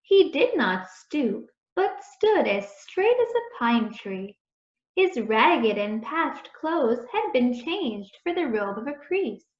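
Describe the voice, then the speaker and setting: A woman reading a children's story aloud in a deliberate, expressive storytelling manner. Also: sits high. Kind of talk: read-aloud storytelling, scripted narration. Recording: echoey room, dull muffled sound.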